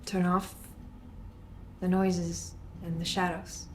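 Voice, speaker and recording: A woman playing a calm therapist in an audio horror drama, speaking in a measured, reassuring way. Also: on the low side, slight background noise. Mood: calm, clinical. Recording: faint music behind the voice.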